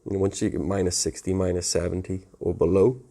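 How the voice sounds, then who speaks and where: Low-voiced man casually explaining tech. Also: little pitch movement, fast talker, gravelly, warm tone. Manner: informal, plain-spoken explaining. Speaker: technical presenter explaining network setup. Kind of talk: casual conversational tutorial.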